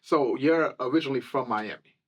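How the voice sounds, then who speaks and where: A man's voice: medium pitch, a little fast. A male radio DJ hosts a casual studio interview. He is friendly and affirming, sprinkling in "okay" and "got it" as he asks his guest questions.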